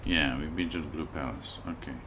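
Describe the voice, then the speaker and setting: A man with a deep, low voice talks through his gameplay in a relaxed, unhurried way, chatting with viewers between moves. Steady noise sits clearly behind him. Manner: relaxed, thinks aloud and chats with viewers while playing. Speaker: livestreamer playing a video game. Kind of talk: casual let's-play commentary.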